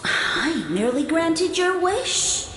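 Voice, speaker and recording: A woman's voice: extremely high pitch, very animated, takes it slowly, echoey room, background noise, exaggerated voice. A woman voicing a pompous, condescending mushroom lord in a video game, with grandiose and archaic formal speech. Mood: lofty, intrigued. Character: pompous, condescending mushroom lord, self-styled sage of fungi.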